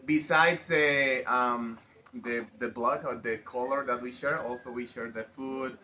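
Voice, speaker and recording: A man's voice: medium pitch, fairly even intonation, unhurried, pushed and bright. A man from Veracruz with a Mexican accent, a native Spanish speaker, answering interview questions in hesitant second-language English, searching for words. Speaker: interview guest. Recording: faint background ambient noise.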